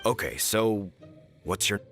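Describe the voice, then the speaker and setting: Bright, expressive young villager. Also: male voice, on the low side, takes it slowly, soft background music. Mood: puzzled. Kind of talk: video game dialogue.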